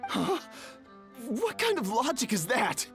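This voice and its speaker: A man's voice: extremely high pitch, wildly swooping pitch, slow, a bit bright, faint music behind, full character voice. A man playing a panicky, evasive gambler in a video game, stammering as he talks. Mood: flustered, defensive. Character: flustered, evasive male gambler and liar. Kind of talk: video game character acting.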